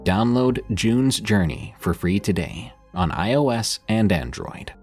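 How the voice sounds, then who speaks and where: A man's voice: fairly low pitch, expressive, a little slow. A man hosting a podcast, reading a scripted sponsor ad with dramatic flair. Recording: loud guitar music behind voice. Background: guitar music.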